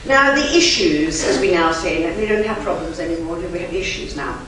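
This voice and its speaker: A woman whose first language is German, a conference interpreter giving an emphatic, chatty lecture to a live audience with lively asides. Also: lowish voice, moderate pace. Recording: very echoey hall, from far off, faint noise.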